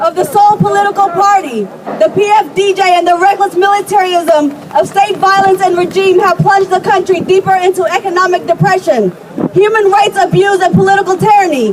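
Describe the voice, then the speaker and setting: Very high-pitched young woman making a speech. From Eritrean family background. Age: young adult. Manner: declamatory, earnest, stumbles over some words. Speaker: speaker at a demonstration. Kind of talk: reading a prepared letter aloud as a public speech. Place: outdoors at a street demonstration. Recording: outdoor rally, crowd and traffic noise.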